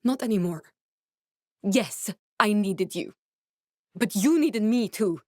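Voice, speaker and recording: An actress playing an earnest, guarded woman scientist in a video game, speaking in a measured, serious, instructive way. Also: mid-range voice, lively intonation, dry recording, clean studio sound. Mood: troubled, regretful.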